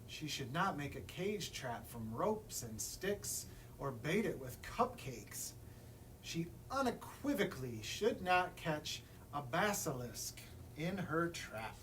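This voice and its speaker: Animated man reading a children's story, distant mic. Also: a little high, takes it slowly. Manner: animated, addresses young listeners with questions. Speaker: librarian story time reader. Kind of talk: expressive children's picture book read-aloud. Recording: distant mic, room noise.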